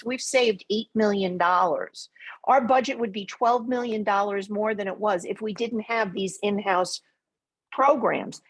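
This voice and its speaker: A woman with a husky voice, a school board chair speaking informally in a meeting discussion. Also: medium pitch, poor audio.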